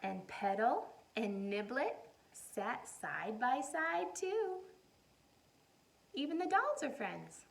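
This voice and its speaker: A woman teacher reading a picture book aloud to young children, animated and encouraging, often putting questions to her listeners. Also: fairly high pitch, vocal fry. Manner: encouraging, animated, asks questions and pauses for answers.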